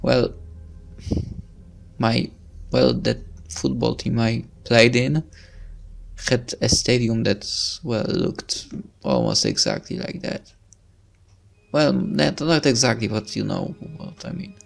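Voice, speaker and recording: A man's voice: medium pitch, expressive, takes it slowly, creaky, pauses often, soft background music. A man reading a visual novel aloud as narration, breaking into casual commentary as a let's player.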